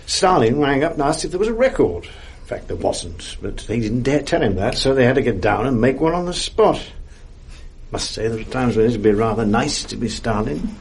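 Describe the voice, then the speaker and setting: A man's voice: medium pitch, animated, moderate pace, breathy edge. An actor playing a world-weary, middle-aged upper-class Englishman in a radio drama, with an English accent and a wry, urbane, self-mocking manner. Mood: wry, chatty. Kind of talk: 1980s British radio drama acting, intimate naturalistic dialogue. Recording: faint noise on archive radio recording.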